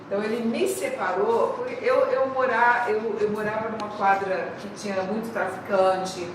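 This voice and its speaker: A woman's voice: medium pitch, fairly even intonation, normal speed. A Brazilian woman sharing her personal faith story in a church class, hesitant and repeating words. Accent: Brazilian Portuguese. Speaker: class participant. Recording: echoey room, distant mic, background noise.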